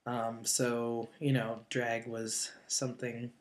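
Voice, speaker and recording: A man in his late twenties speaks in a relaxed, easygoing way. He co-hosts a casual chat at a middle pitch and often stops on fillers like "um" and "you know.". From northeastern Ohio. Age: 20s. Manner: relaxed, many fillers and pauses. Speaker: co-host.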